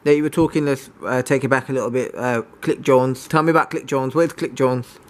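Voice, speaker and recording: A man's voice: medium pitch, steady tone, a little fast. A man, interviewing casually and informally, checking back with tag questions. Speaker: interviewer and vlogger.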